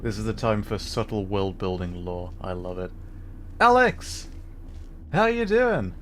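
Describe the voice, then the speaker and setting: A man giving casual, halting live commentary as he streams a video game, musing with playful asides. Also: lowish voice, sing-song, fairly bright tone, faint music behind.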